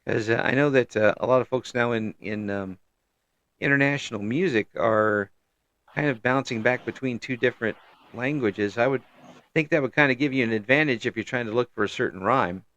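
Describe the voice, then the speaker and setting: A man's voice: mid-range voice, brisk, a bit bright, no room sound, dull audio. Chatty middle-aged male interview host. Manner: chatty, frequent restarts and affirming "yeah"s. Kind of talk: casual interview.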